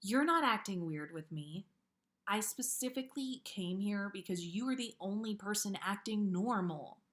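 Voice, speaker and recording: Clever young witch read by a woman, mid-range voice, earnest, self-conscious, gently probing, storybook dialogue. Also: mid-range voice, lively intonation, normal speed, natural voice. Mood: joking, earnest.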